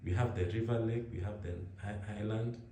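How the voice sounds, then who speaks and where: A young man with a Kenyan accent talks in a relaxed, rambling way, often restarting his words. His voice sits low and dark. The room has a strong echo. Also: brisk, slight background noise. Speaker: interviewee. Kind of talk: casual conversation.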